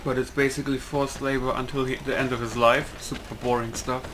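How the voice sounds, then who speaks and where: A man doing casual video game commentary as a streamer. He talks in an offhand, rambling way at a medium pitch. Clear background noise and game ambience sit behind his voice. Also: flat delivery, brisk. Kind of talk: casual let's-play commentary.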